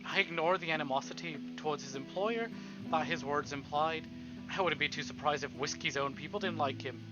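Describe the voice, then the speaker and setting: Higher-pitched male streamer, theatrical read-aloud, playful, slightly dull sound, faint guitar music behind. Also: fairly quick. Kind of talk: dramatic read-aloud of a visual novel with casual asides.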